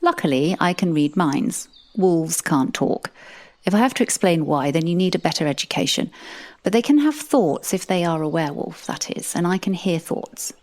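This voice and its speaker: A woman's voice: fairly low pitch, sing-song, normal speed, a little mellow. A woman narrating a comic audio drama in the first person, with a dry, sardonic manner.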